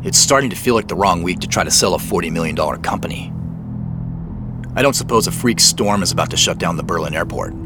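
A man's voice: low-pitched, expressive, quick pace, over music. An actor playing a wry, evasive, preoccupied businessman in a naturalistic audio drama. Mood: wry, worried.

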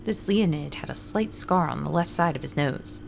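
A woman's voice: sits low, expressive, moderate pace, vocal fry, soft background music, exaggerated voice. A woman playing a friendly, ordinary runner and ad writer, narrating her story in a scripted fiction podcast. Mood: narrating, calm. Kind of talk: first-person scripted audio drama narration with dialogue.

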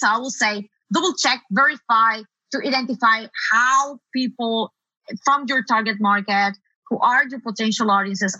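Latin american woman, spanish accent, bright punchy voice, explaining stuff as a guest, dry sound. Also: a little high, dry recording, muffled recording. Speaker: guest SEO consultant. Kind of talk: conversational interview answers.

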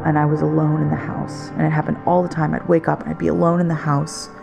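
A woman's voice: low-pitched, flat delivery, fast, gravelly, a little mellow. A woman giving a candid, conversational personal recollection in an unguarded way. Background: music.

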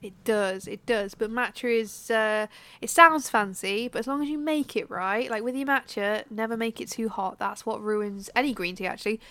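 A woman co-hosting a podcast in casual chat, a bit bunged up from a cold, chatty and quick to laugh. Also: fairly high pitch, a bit bright, dry recording. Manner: chatty, laughs often, drawn-out fillers. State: congested from a cold. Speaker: podcast co-host.

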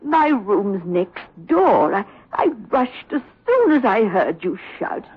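Controlling, soothing aunt for vintage radio horror: very husky, slow coaxing, big swoops in pitch, dated recording. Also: female voice, very animated, heavily acted. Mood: soothing concern. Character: soothing, controlling aunt doting on her nephew. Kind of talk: 1960s radio horror acting. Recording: muffled old radio recording.

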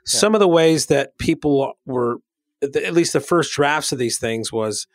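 A man's voice: a little high, animated, measured pace, no room sound, clean studio sound. A man co-hosting a podcast, chatting informally and often checking in with the listener as he explains. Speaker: podcast co-host. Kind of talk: casual conversational podcast chat.

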